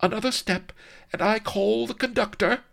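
A man voicing a proud, indignant highborn damsel, haughty and theatrical, in a comic adventure story. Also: normal speed, vocal fry, a bit bright. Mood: proud indignation.

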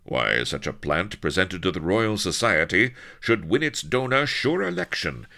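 A man voicing a cold, pompous, well-bred gentleman hero in an audiobook, with an upper-class English accent and a crisp, commanding manner. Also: deep voice, big pitch swings, measured pace, voice pushed up, exaggerated voice. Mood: astonished, curious.

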